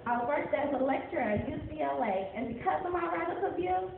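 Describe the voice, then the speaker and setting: High-voiced woman as a proud activist professor, school play, echoey hall, lo-fi. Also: lively intonation, brisk, creaky. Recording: echoey hall, phone-quality audio, noisy.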